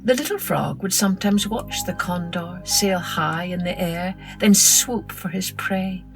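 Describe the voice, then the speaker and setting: A woman narrating a children's story in an expressive, dramatic storytelling manner. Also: on the low side, measured pace, vocal fry, over music. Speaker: storyteller, podcast host.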